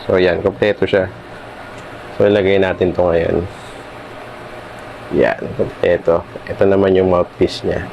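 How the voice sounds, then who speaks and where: A man's voice: sits low, level tone, a little slow, gravelly, long pauses, highs cut off, slight background noise. A man with a Filipino accent narrating a casual product demo vlog, talking informally and directly to viewers. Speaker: vlogger presenting a product installation.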